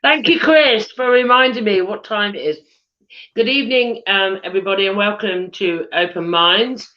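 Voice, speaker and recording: A British woman hosting a live chat show on a video call, husky from losing her voice, chatty with lots of ums. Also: mid-range voice, moderate pace. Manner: chatty, giggly, many ums. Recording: dull lo-fi video call audio.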